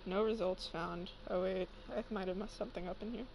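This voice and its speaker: A woman's voice: medium pitch, fairly even intonation, brisk, creaky, a little mellow. A young adult woman chatting casually on a livestream in a blunt, teasing, sweary way. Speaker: livestream co-host and fan fiction reader. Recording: noisy amateur livestream microphone.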